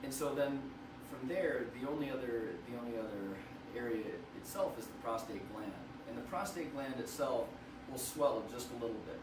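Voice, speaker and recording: A man, a radiation oncologist, explaining treatment to patients in a measured way, slightly hoarse and often restarting his phrases. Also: medium pitch. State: hoarse from wildfire smoke. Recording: roomy, from far off, noisy.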